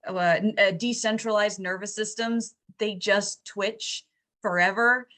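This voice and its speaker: A woman improvising narration and chatting casually in a tabletop horror game, building descriptions haltingly, phrase by phrase. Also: medium pitch, steady tone, fairly bright tone. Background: faint music.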